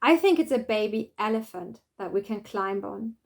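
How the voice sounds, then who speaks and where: A woman's voice: mid-range voice, expressive, normal speed, creaky. A woman voicing an eager, playful child in a children's storybook. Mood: excited, playful.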